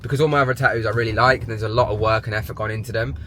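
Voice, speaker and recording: A man's voice: on the low side, fairly even intonation, very fast, pushed and bright, slight background noise. A man, chatting casually in a vlog, a bit congested from a sore throat, upbeat and rambling. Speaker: vlogger.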